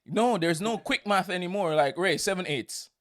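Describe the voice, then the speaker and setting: A man's voice: high-pitched, lively intonation, normal speed, fairly bright tone. A Jamaican man in his thirties, hosting a casual podcast chat in a playful, teasing way. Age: 30s. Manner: playful, teasing, repeats himself. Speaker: podcast host.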